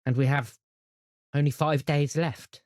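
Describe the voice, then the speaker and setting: A man voicing a kindly, grave old grandmother in a tabletop game, gently addressing listeners as dears. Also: on the high side, flat delivery, unhurried, no room sound, clean studio sound, natural voice. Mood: solemn, pleading. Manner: gentle, grave, calls people dear. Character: kindly old grandmother shopkeeper, solemn, secretly more powerful.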